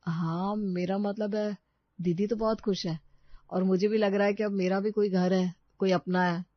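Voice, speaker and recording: A woman's voice: mid-range voice, steady tone, moderate pace, no room sound, muffled recording, lightly acted. Woman voicing an earnest, hesitant young man. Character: naive, gentle young male college student, hesitant orphan.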